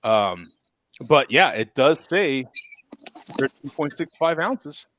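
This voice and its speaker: A man's voice: mid-range voice, expressive, takes it slowly, a bit bright, muffled recording, slight background noise. A man hosting a casual chat show in a folksy manner, often repeating himself as he talks. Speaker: show host.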